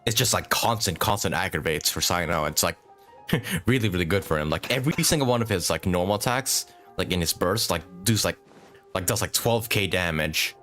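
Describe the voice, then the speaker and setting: Deep-voiced man streaming a game, lively pitch. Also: a little fast. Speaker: live streamer playing a video game. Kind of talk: casual game livestream commentary. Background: video game music.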